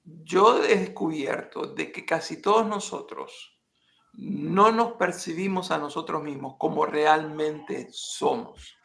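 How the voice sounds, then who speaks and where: A man who works as a life coach, talking in a measured, reflective way and posing questions to the listener. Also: a little high, gravelly, a bit bright. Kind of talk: reflective motivational talk.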